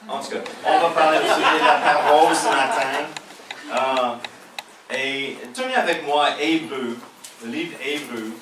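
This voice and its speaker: A man with an American accent mixed with a Québécois French one, a pastor preaching a sermon in halting French with many fillers and light jokes. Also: a little high, noisy background. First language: English. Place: church hall. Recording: echoey hall heard from a distance.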